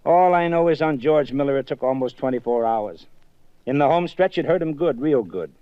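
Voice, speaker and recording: A man's voice: mid-range voice, expressive, normal speed, smooth, no room sound, muffled recording, natural voice. Bantering detective played by a man, mid voice, dry old radio recording. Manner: wry, bantering. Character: wry male detective sergeant, teasing. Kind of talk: 1950s radio drama acting.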